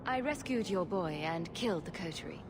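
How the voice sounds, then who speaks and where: A woman's voice: fairly low pitch, expressive, fairly quick. An actress playing a bold, dry-witted female adventurer in a fantasy video game, wry and assured. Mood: matter-of-fact. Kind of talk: video game cutscene acting. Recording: clear ambient game noise behind.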